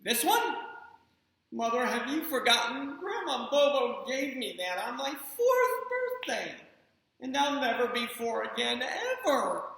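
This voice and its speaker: A storyteller voicing a dramatic little boy who begs to keep his toys, in a high, swooping voice. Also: sing-song, slow pace, vocal fry, a bit bright, very echoey, exaggerated voice, rough recording. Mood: pleading.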